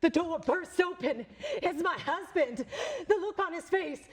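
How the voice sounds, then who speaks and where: A woman's voice: very high-pitched, unhurried. A woman playing a séance medium for a live audience, speaking with theatrical, old-fashioned formality into a handheld microphone. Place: large library event room.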